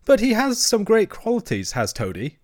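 A man voicing a cheerful, good-natured water rat in a children's story, chatty, colloquial and quick with opinions. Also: high voice, wildly swooping pitch, a little mellow, dry recording, studio quality, voice pushed up. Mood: warm, fond.

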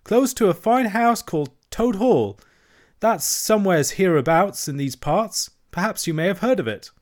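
A conceited storybook toad pretending to be a washerwoman, put on by a male reader. He speaks in a high, lifted voice that swoops about as he boasts airily. Loud and showy, with a falsetto-like edge. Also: animated, moderate pace. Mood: wheedling. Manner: airy, bragging, then nervous.

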